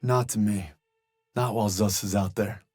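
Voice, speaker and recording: A man's voice: fairly low pitch, steady tone, normal speed, natural voice. An actor playing a young soldier who narrates his war memories in a somber, reflective and weary way. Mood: somber, determined. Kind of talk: scripted narration. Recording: loud music bed behind the voice.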